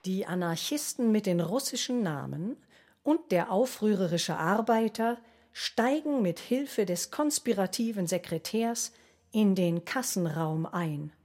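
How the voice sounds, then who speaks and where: A woman's voice: medium pitch, expressive, a little slow, a little mellow. A woman reading scripted story narration in a radio play.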